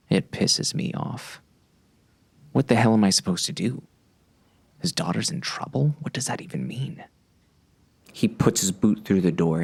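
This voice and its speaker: A man playing an uneasy gunman who narrates a western audiobook in a brooding, gravelly, dramatic way. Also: deep voice, heavily acted. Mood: agitated, doubting. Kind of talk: first-person audiobook narration, present tense.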